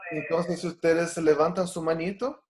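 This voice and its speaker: Man from Brazil, native Portuguese speaker, earnest Bible teacher, fairly high voice, echoey room, video call mic. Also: steady tone, moderate pace, darkish tone. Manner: earnest, with frequent fillers and restarts. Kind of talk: online class over video call.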